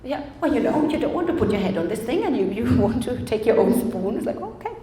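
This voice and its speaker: A woman's voice: on the high side, sing-song, quick pace, dark tone. A woman from Germany, a photographer, talking chattily about her project at a public event, laughing often and acting out quoted dialogue. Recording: echoey hall, faint ambience.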